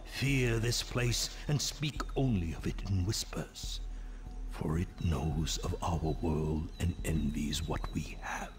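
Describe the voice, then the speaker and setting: A very low-voiced, grave storyteller of dark legends for a fantasy video game. He delivers each phrase with portentous, theatrical weight, and music plays prominently behind him. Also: slow. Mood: hushed, foreboding. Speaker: narrator. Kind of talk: dramatic scripted fantasy game narration.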